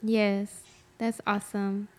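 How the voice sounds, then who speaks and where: A woman's voice: medium pitch, monotone, slow pace. A young adult woman hosting an interview, enthusiastic, often saying "like" and "mm-hmm". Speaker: interview host. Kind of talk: casual interview chat.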